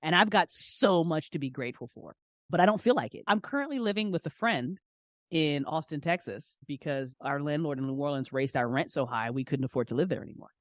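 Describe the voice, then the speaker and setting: A woman's voice: sits low, fairly quick, dry recording, studio quality. Frank, slangy woman in her forties. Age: 40s. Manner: frank, informal and slangy, expressive. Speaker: podcast guest, audio drama creator and writer. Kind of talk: casual, candid interview chat.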